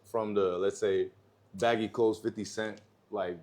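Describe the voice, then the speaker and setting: A young man with a lowish voice talks like he's hanging out with a friend. He's slangy and loose, full of "like" and "you know," and trails into quick tangents. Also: fairly even intonation, a little mellow. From Canada. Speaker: rapper guest. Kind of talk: casual podcast chat.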